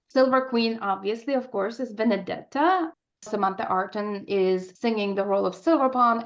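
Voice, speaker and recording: A woman's voice: medium pitch, lo-fi sound. A woman speaking as an interview guest, an opera composer in conversation on the radio.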